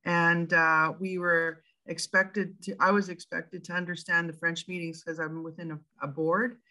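A woman's voice: on the low side, flat delivery, normal speed, pushed and bright. A middle-aged woman from western Canada with a Canadian accent, a teacher sharing her experience on a video call. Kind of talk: personal sharing in group discussion.

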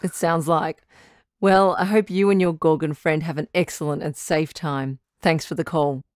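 A woman's voice: low voice, fairly even intonation, normal speed, no echo, studio quality, natural voice. A woman playing a frank, reassuring doctor who hosts a radio call-in advice show, encouraging and matter-of-fact in a conversational style. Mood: upbeat, encouraging.

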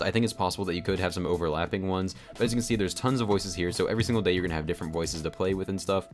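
A man narrating a casual software tutorial in a chatty, informal, explanatory way. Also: deep voice. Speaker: tutorial host. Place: voice-over on a screen recording. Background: background music.

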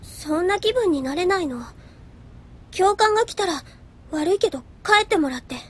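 An actress playing an earnest, reserved young woman soldier in an anime audio drama, composed and soft-spoken. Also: very high-pitched, expressive, normal speed. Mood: sulky. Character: earnest, reserved young female soldier recalling her past. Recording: music behind the voice.

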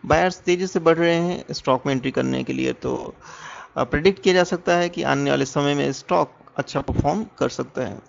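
A man's voice: on the high side, vocal fry. A man with an Indian accent presenting an explanatory stock analysis as a voice-over, in a muffled lo-fi recording. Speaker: presenter of a stock market education channel.

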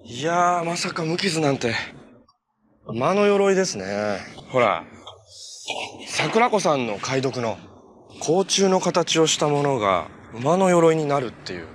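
A man's voice: a little high, big pitch swings, unhurried. An actor playing an easygoing, kind young male hero in a TV superhero drama, speaking in a friendly, earnest, casual way. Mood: surprised. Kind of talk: naturalistic Japanese TV drama acting. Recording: TV drama audio with noticeable background noise.

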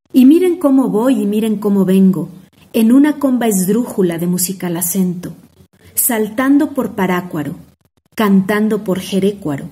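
Mexican woman reciting poetry expressively. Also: mid-range voice. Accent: Mexican Spanish. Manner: expressive, rhythmic, savoring each word. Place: home room indoors.

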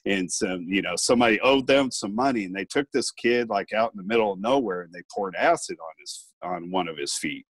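A man's voice: on the low side, brisk, hardly any pauses, slightly rough, dry recording. A middle-aged man hosting a podcast over a video call, talking casually with many restarts and fillers through an earbud mic. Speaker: podcast host.

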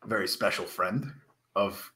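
A man in his thirties co-hosts a wrestling stream, his voice bright and forceful even though he is a bit under the weather and coughing. He talks in an excitable, rambling, slangy way through a headset mic. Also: lowish voice, measured pace. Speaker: wrestling commentator. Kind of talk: casual live-stream commentary chat.

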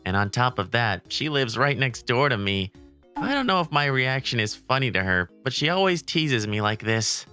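A woman's voice: very deep voice, big pitch swings, moderate pace, sharp tone, smooth, full character voice. A woman voicing a timid, kindhearted teenage boy who narrates his story and stammers when flustered, in a manga comic dub. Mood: wistful narration. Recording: background music under the voice.

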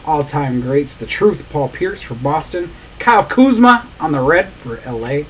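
A man's voice: a little high, animated, a little slow, slight background noise, low-quality recording. Man calling out cards in a break. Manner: rattles off player names while opening packs. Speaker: card break host. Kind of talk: live card break commentary. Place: small indoor studio desk.

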